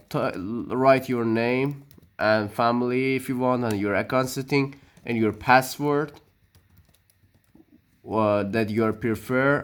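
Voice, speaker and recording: A man whose first language is Persian, narrating a computer setup walkthrough with frequent pauses, restarts and loose non-native phrasing. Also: mid-range voice, clear, resonant tone. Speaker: tech tutorial maker. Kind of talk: screen-recorded walkthrough narration.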